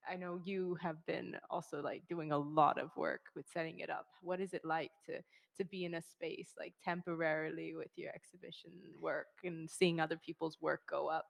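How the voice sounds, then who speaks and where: Chatty young woman interviewer, lowish voice. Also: little pitch movement, normal speed, creaky. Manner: chatty, filler-heavy, upbeat. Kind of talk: casual interview chat.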